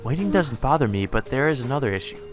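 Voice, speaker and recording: Casting: genteel young lady in a game read by a man, soft-spoken, composed, politely demanding. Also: mid-range voice, big pitch swings, fast, over music, lightly acted. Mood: coolly polite.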